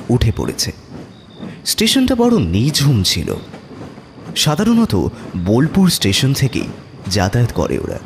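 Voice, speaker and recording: A man's voice: lowish voice, a little hiss or hum. A man narrating a scripted horror story as a dramatic storyteller.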